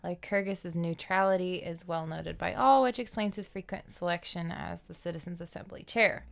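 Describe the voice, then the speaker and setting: A woman's voice: fairly low pitch, moderate pace, vocal fry. A woman livestreaming a story game, reading text aloud and chatting casually in reaction.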